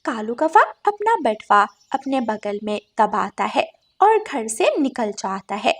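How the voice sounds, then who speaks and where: A woman's voice: on the high side, big pitch swings, unhurried. A woman narrating a scripted children's moral story.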